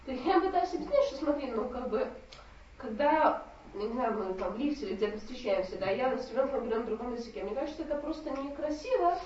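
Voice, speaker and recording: A mother in the audience of a lecture, talking rapidly and searching for words as she explains her view. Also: a little high, lively intonation, darkish tone, a little hiss or hum. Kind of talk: audience discussion. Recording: distant, echoey, muffled audience mic.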